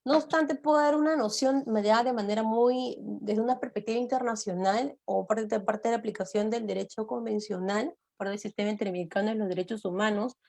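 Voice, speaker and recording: A woman's voice: fairly high pitch, a little fast, creaky, hardly any pauses, a little mellow. A woman with a Peruvian accent, a guest lecturer explaining her subject and answering questions. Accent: Peruvian Spanish. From Peru. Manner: explanatory, asks listeners to remember points.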